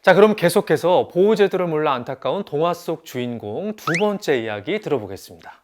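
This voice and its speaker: A man hosting an educational talk as an announcer, reacting in an expressive, reflective and sympathetic way. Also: a little high, a little fast. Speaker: host, announcer. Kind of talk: presenting an educational talk about fairy tales. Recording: faint background noise.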